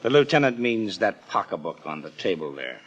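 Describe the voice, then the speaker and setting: Low, blunt American police detective. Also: male voice, sing-song, a little fast, breathy edge, dull audio, full character voice. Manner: blunt, businesslike. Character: matter-of-fact male police detective sidekick. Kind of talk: 1950s radio crime drama acting.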